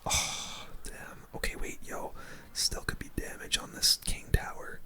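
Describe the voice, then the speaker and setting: A young man speaks entirely in a bright, breathy whisper, close to the mic. He gives ASMR gameplay commentary as host, casual and slangy, reacting to each move as he plays. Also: measured pace. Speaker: gaming video host.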